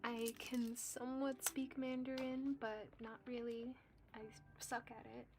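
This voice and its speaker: A woman's voice: fairly high pitch, level tone, a little slow. A young woman whose first language is Cantonese, chatting casually and giggling as she answers viewer comments on a live coloring stream. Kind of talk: casual chat with live chat readers.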